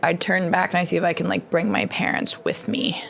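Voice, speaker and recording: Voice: medium pitch, lively intonation, a little fast, vocal fry, fairly bright tone, a little hiss or hum. A player in a tabletop game describing actions hesitantly, with many fillers.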